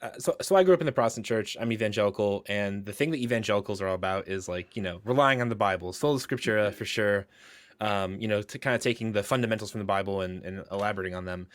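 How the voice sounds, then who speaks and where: A man's voice: lowish voice, fast, dry recording. A man co-hosting a podcast, chatting casually in an informal, wry, rambling way. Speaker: podcast co-host. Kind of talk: casual conversational interviewing.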